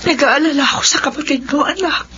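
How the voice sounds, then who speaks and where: High-pitched pleading Filipino mother. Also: fast, pushed and bright. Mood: worried pleading. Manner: pleading, emotional. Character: worried, pleading elderly mother of grown children. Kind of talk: radio drama acting. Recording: dull lo-fi radio drama, faint noise.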